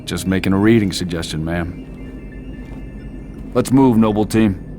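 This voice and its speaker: An actor playing a stern, unyielding military team commander in a video game cutscene, measured and commanding. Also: male voice, deep voice, dark tone, soft background music. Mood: quietly threatening.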